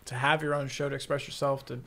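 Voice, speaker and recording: A man's voice: medium pitch, flat delivery, quick pace, gravelly, bright, forceful tone. A young man from Florida, a guest on a podcast, talking casually in an earnest, laid-back way. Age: young adult. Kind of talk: casual chat. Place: podcast studio.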